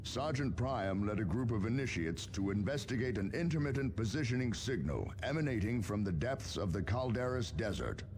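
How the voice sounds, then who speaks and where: An actor playing a grave space marine officer who gives formal, measured mission briefings in a video game. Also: male voice, on the low side, background noise. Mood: grave, urgent.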